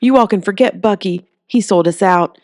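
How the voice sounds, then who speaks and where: A woman's voice: mid-range voice, normal speed, a little mellow, no room sound, studio quality, pitched above the performer's own voice, natural voice. A woman voicing a flustered young man, a reluctant hero who blurts defensively, in an audiobook. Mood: bitter.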